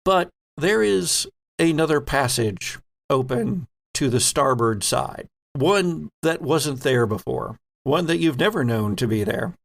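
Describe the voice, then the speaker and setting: A man narrating a tabletop horror role-playing game as game master, setting scenes deliberately with pauses mid-phrase. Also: mid-range voice, expressive, vocal fry.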